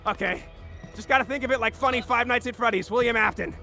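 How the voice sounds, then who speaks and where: A man's voice: very high-pitched, fast talker, sharp tone, faint music behind, exaggerated voice. A man playing a distraught, reluctant, foul-mouthed game hero in an improvised comedy dub, exclamatory and flustered. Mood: hesitant. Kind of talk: improvised comic game fandub.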